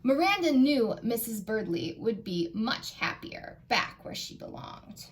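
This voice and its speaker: An American woman, a children's librarian, reading a picture book aloud in an animated, expressive way and putting questions to her young listeners. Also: on the high side, unhurried, slight background noise, rough recording.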